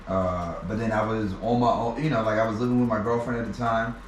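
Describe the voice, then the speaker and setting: A man's voice: lowish voice, steady tone, a little hiss or hum. Guy stumbling over his words a lot, sounds far from the mic in a roomy space. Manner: halting, many restarts and repeated words. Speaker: interview guest. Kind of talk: personal storytelling in conversation. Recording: echoey room, mic at a distance.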